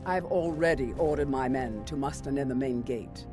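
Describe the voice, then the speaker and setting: A woman's voice: a bass voice, normal speed. A woman playing a stern elven housecarl who gives blunt, urgent commands in a fantasy video game. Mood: assured. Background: game music.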